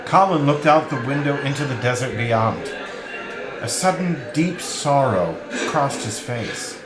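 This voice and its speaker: A man's voice: mid-range voice, expressive, measured pace, music in the background. A man narrating a novel in a scripted, literary storytelling manner. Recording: roomy, echoing sound.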